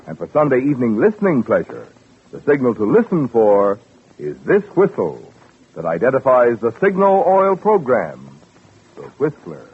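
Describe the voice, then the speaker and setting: A man's voice: medium pitch, husky. A man announcing in scripted 1940s radio style as a programme's sponsor voice, on a tinny vintage recording.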